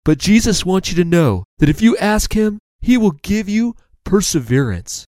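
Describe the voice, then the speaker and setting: A man voicing a gentle, encouraging turtle in a children's story, earnest and reassuring. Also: high-pitched, sing-song, moderate pace, no room sound, voice pushed up.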